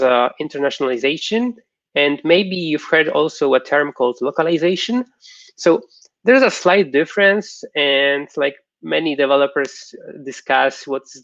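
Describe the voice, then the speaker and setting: A young man, a software developer, giving an informal technical presentation online in a halting, explanatory way. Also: mid-range voice, vocal fry, no room sound. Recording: dull, lo-fi online stream audio.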